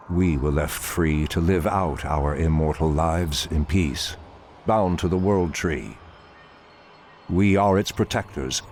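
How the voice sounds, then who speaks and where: An actor playing an ancient, solemn male night elf druid leader in a grave, deliberate game cinematic style. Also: low voice, a little mellow, a little hiss or hum. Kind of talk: dramatic game cinematic acting.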